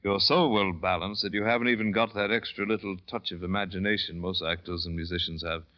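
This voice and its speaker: Man acting a deep-voiced, clinical doctor. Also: fairly even intonation, lightly acted. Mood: calm, clinical. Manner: measured, clinical. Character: calm, matter-of-fact London doctor. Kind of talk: 1940s-50s radio drama acting. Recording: dull old radio recording.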